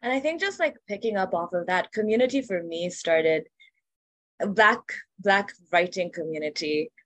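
A woman's voice: lowish voice, expressive, normal speed, fairly bright tone, no room sound. A woman from Kenya speaking earnestly and reflectively in a casual panel discussion, with frequent fillers.

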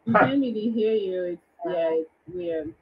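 A woman hosting a call-in dating show in a brisk, upbeat way. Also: mid-range voice, lively intonation, dull audio, slight background noise.

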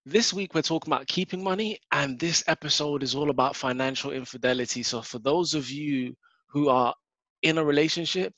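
A man's voice: medium pitch, a bit bright, dry recording. A man hosting a podcast, talking conversationally and steering the chat with questions. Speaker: podcast host. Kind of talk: casual podcast interview. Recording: dull, dry lo-fi recording.

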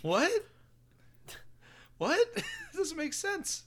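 A man's voice: extremely high pitch, huge pitch swings, extremely slow, fairly bright tone. A man co-hosting a podcast, exasperated and incredulous in casual chat. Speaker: podcast co-host.

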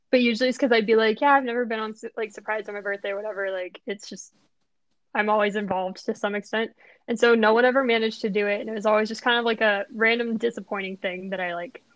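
A woman's voice: mid-range voice, a bit bright, no room sound, rough recording. Chatty young woman, dry close sound. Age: young adult. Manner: chatty, says "like" a lot. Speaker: podcast co-host. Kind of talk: casual chat, storytelling.

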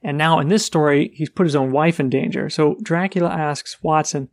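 Higher-voiced man, dry podcast mic. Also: moderate pace, dry recording. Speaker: podcast co-host. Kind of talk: casual book chat.

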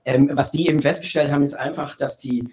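A man's voice: medium pitch, steady tone, fast, a little mellow. A man guest explaining his views on marketing, hesitant with many fillers. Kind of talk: casual panel talk.

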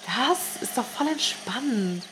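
A woman's voice: a little high, lively intonation, takes it slowly, fairly bright tone, slight background noise, low-quality recording. A young woman co-hosting a podcast, chatting casually with a friend in colloquial youth slang peppered with English loanwords. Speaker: podcast co-host.

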